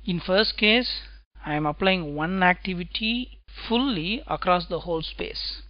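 Male instructor, unhurried step-by-step explainer, dry close recording. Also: high voice, dry recording, highs cut off. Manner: slow, deliberate explaining, tag questions "right?". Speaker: software testing instructor. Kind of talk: screencast lecture.

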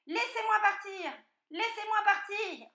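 Woman voicing a high-pitched, wheedling young piglet. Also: big pitch swings, a little slow, fairly bright tone, roomy sound, heavily acted. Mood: frantic. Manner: politely pleading, falsely innocent. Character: cunning young piglet, polite and wheedling, male. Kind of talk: children's storybook character voice.

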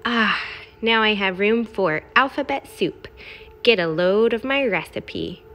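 A brash garbage truck from a picture book, acted out by a woman, proud of being smelly. It speaks in punchy, exclamatory phrases at a slow, deliberate pace, with a medium-pitched voice. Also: a bit bright. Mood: gleeful. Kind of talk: expressive children's picture-book read-aloud.

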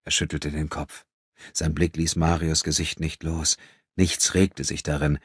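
Man, dramatic audiobook narration, extremely low pitch, very husky, dry studio-close sound. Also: flat delivery, unhurried, dry recording.

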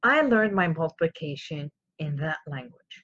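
A woman's voice: low voice, lively intonation, normal speed, fairly bright tone, lo-fi sound. A woman in her fifties from Iran, a native Armenian speaker, gives an informal motivational talk to camera as host, explaining emphatically with rhetorical questions. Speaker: host of a self-help live show.

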